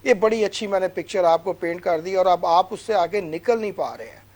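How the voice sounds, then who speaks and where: A man's voice: sits high, fairly quick, darkish tone. A man with a Pakistani accent giving conversational interview answers, earnest and motivational, repeating phrases for emphasis. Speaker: businessman guest.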